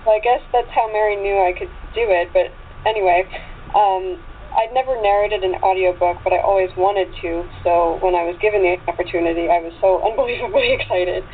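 A woman's voice: mid-range voice, fairly quick. Chatty woman guest on a phone line. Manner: chatty, excitable, informal. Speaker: interview guest, actress and writer. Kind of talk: casual chat. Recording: tinny phone line with faint noise.

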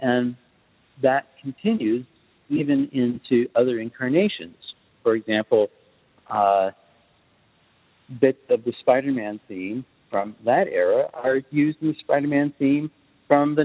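A man answering interview questions as a guest, speaking in a measured, thoughtful way in short deliberate phrases. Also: medium pitch. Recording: muffled phone-like line with reverb tail.

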